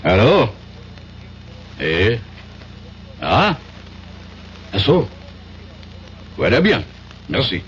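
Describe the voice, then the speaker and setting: Deep-voiced stern French police inspector. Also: male voice, huge pitch swings, exaggerated voice. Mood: coolly sceptical. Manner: measured, formal, sceptical. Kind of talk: 1970s radio drama acting. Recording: dull noisy vintage radio broadcast.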